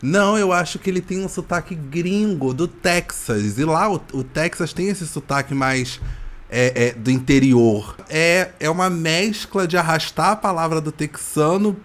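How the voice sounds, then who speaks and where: A man's voice: a little high, a little slow, a bit bright. A man co-hosting a casual reaction chat, playful and gossipy, teasing as he talks. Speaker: react video co-host.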